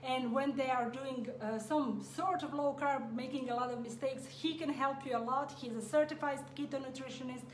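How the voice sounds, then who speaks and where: Greek-accented woman host, high voice, roomy echo, unhurried live-stream chat. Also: a little hiss or hum. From Greece. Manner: unhurried, chatty, reads viewer comments aloud. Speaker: cooking channel host.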